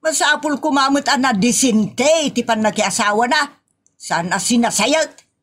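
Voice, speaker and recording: A woman's voice: a little high, animated, a little slow, fairly bright tone. A woman playing a strict, nagging older auntie with an Ilocos accent from the northern Philippines in a comedy audio drama. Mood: scolding.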